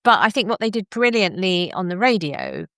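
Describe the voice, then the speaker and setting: A woman's voice: mid-range voice, wildly swooping pitch, moderate pace, a bit bright, no echo, clean studio sound. A woman hosting a podcast interview in a conversational style.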